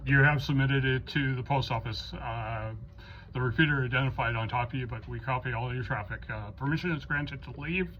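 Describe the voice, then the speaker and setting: A man's voice: medium pitch, brisk. Man running a radio net, dull audio. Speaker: amateur radio net controller. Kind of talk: procedural radio net hosting. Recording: dull lo-fi audio, faint noise.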